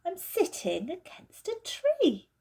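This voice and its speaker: A woman's voice: extremely high pitch, huge pitch swings, slow, warm tone, voice pushed up, exaggerated voice. A woman voicing a sensible schoolgirl, an older sister, with emphatic delivery in a storybook reading. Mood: surprised, excited.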